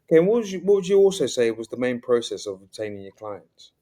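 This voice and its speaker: A man's voice: medium pitch, sing-song, fast, warm tone. A man hosting an interview, asking rambling questions that he rephrases as he goes. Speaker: interview host.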